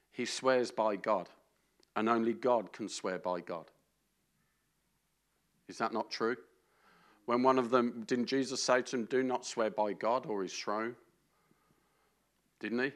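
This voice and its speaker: A man preaching an informal sermon, conversational and peppered with hallelujahs and light jokes. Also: mid-range voice. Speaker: pastor.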